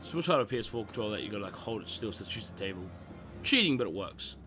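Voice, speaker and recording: A young man streaming a game, excitable and cursing, jumping between loud outbursts and quiet mutters. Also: lowish voice, very fast, over music. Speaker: gaming streamer. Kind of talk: let's play commentary.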